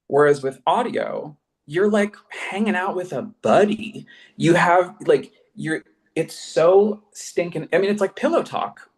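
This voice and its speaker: A man's voice: sits high, measured pace. A man chatting casually and emphatically as a podcast creator on a discussion panel, with an enthusiastic way of talking.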